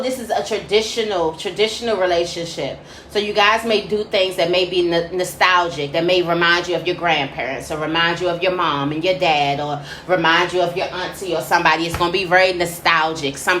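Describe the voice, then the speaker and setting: A woman's voice: on the low side, sharp tone. A woman tarot reader speaking straight to her listeners in an informal, slangy spiritual advice monologue, often checking in with short tag questions. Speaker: tarot reader giving a reading for Libra. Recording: roomy echo with faint background noise.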